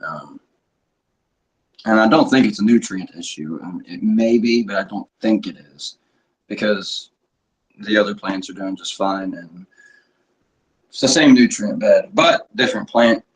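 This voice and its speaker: Hoarse low-voiced man with a sore throat. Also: measured pace, long pauses, low-quality recording. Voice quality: husky. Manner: frequent throat clearing, offhand swearing. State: sore throat, losing his voice. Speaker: cannabis vlogger. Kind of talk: casual rambling chat to viewers.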